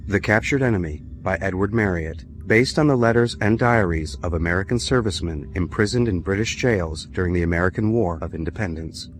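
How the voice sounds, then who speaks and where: A man's voice: low voice, brisk, few pauses. A man reading a scripted title announcement as the announcer of a radio drama.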